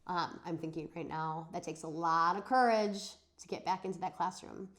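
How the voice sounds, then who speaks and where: A woman teacher giving an expressive read-aloud of a children's story, acting out the dialogue. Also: lowish voice, moderate pace. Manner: animated, dramatizes dialogue. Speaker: teacher reading a chapter aloud for students.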